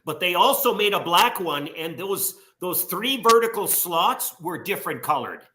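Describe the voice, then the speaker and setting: A man's voice: high-pitched, big pitch swings, normal speed, pushed and bright. A man who collects old machines, eagerly explaining their details in a casual way. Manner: eager, knowledgeable, walks through details. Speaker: guest collector.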